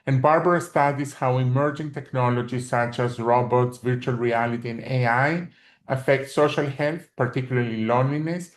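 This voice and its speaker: A man hosting a webinar who reads out a formal introduction at a middling pitch. His voice carries a roomy echo, as if he is in a bare room on a video call. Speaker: webinar host. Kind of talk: introducing a speaker.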